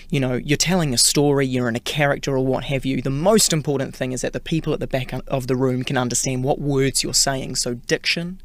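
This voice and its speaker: New Zealand male, interview guest, chatty with fillers, mid pitch, dry studio. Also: no echo. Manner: chatty, many fillers and restarts. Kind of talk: casual radio interview.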